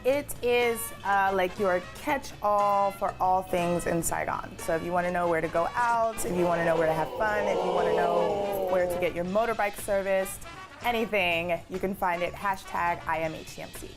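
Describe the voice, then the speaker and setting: A woman's voice: lowish voice, moderate pace. Chatty middle-aged woman from hawaii, comedian guest, laughs a lot, music bed behind. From Hawaii, United States. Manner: animated, laughs often, storytelling. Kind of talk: casual interview chat.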